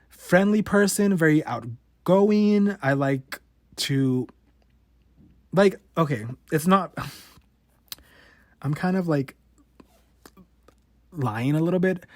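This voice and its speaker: A man's voice: a little high, no echo. A man in his late twenties who stutters, hosting a podcast and talking bluntly and frankly about his own life, with frequent swearing. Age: 20s. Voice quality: stutters, with repeated words and cut-off sounds. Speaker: podcast host. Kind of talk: candid personal monologue.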